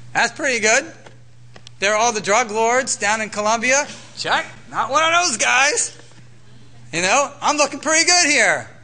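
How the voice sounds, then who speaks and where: Bright high-voiced man preaching. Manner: conversational, self-deprecating, rhetorical questions. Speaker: pastor. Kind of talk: sermon.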